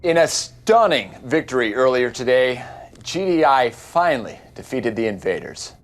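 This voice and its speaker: A man playing a formal television news anchor in a game cutscene, with measured, emphatic delivery. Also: medium pitch, very animated, lightly acted. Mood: proud, formal.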